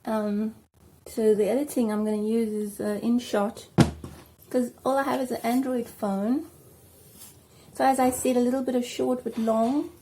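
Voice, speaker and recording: A talkative South African woman, recorded on a phone at home, speaks in a fairly high but mellow voice. She rambles loosely through a hair tutorial with plenty of ums. Faint background hiss sits under her speech. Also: fairly even intonation, normal speed. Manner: chatty, rambling, with many ums. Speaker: vlogger. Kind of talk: casual hair tutorial chat.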